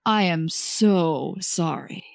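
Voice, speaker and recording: A woman's voice: fairly low pitch, extremely slow, gravelly, no echo, pitched below the performer's own voice, heavily acted. Woman acting out a melodramatic, servile male store manager. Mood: solicitous. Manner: melodramatic, pleading.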